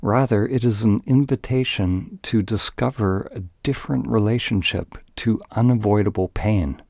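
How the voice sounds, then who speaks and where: A man narrating a guided meditation in a calm, gentle, deliberate way, leaving pauses between phrases. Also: on the low side, gravelly, no echo, clean studio sound.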